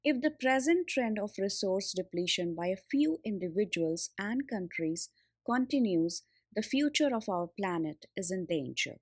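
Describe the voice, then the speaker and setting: A woman reading a school textbook aloud in a measured, deliberate, instructional way. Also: medium pitch, animated, no room sound, clean studio sound. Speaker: textbook reader.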